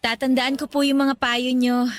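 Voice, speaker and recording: A woman's voice: sits high, flat delivery, moderate pace, lightly acted. A woman playing an affectionate, respectful young adult daughter in a radio drama, with a Filipino accent. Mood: grateful, emotional. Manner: respectful, earnest, heartfelt. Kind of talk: radio drama acting in Tagalog. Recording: music bed behind the voice.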